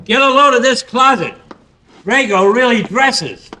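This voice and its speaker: Brisk police detective, lively pitch, bright voice, noisy old-time radio recording. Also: male voice, full character voice. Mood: brisk, curious. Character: brisk, plain-spoken male police detective. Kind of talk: 1940s-50s radio acting.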